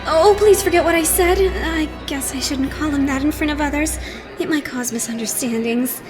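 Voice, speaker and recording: Gentle young boy idol, voiced by a woman, high pitch, modest, politely hesitant, apologetic, anime fan dub, music underneath. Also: normal speed, lightly acted.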